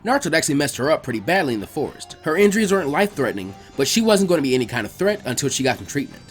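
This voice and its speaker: A man reading a scripted story aloud as its narrator, in an animated storytelling style. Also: a little high, racing, faint music behind. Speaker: narrator of fan fiction readings.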